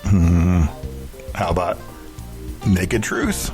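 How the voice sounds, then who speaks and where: A man's voice: deep voice, drawn-out words, a little mellow. A man co-hosting a podcast, reading a scripted promo with bits of banter. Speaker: podcast co-host. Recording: music bed with guitar underneath.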